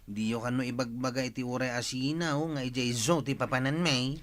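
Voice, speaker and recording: An actor with an Ilocano accent playing a cautious nephew who gives careful warnings in a radio drama. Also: male voice, mid-range voice, fairly even intonation, fairly quick, natural voice. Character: cautious young male nephew. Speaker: captain's nephew.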